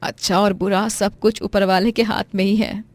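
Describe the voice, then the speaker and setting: A woman playing a gentle, patient, self-sacrificing poor mother, earnest and sentimental, in a moral story. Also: medium pitch, little pitch movement, normal speed, no room sound, lightly acted. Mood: patient, calm. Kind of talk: dramatised Hindi moral story.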